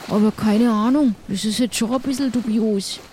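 Chatty, plucky ship's crew member played by a woman, higher register, loose colloquial delivery. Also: steady tone, normal speed, mellow, a little hiss or hum, lightly acted. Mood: puzzled. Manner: colloquial, rambling. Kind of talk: improvised tabletop roleplay.

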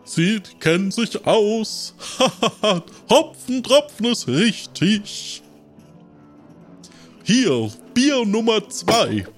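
A chirpy bartender, put on by a man for a role-playing game. The voice is fairly high and takes its time, giggly and polite. Soft music plays behind. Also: big pitch swings, extremely slow, exaggerated voice. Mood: giggly, playful.

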